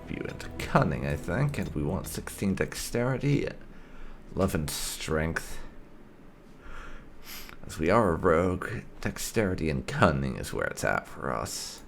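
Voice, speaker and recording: A man's voice: deep voice, very animated, a little slow, vocal fry, a little hiss or hum. A man giving casual, chatty, explanatory commentary as he hosts a video game playthrough. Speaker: gaming video host.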